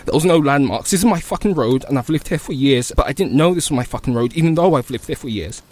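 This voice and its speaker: A man's voice: mid-range voice, lively intonation, quick pace, darkish tone, voice pushed up, close to an everyday voice. A man performing a dramatic monologue as a panicked, desperate survivor, frantic, repetitive and sweary. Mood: panicked, scared. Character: panicked, desperate survivor lost in bombed streets.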